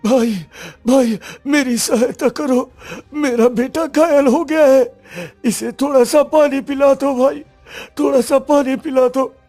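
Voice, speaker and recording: A woman's voice: high-pitched, steady tone, takes it slowly, mellow, soft background music. A woman voicing an anguished hermit father begging plaintively for help in a children's cartoon story. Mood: pleading. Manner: pleading, plaintive. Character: pleading male hermit, anguished father of wounded son.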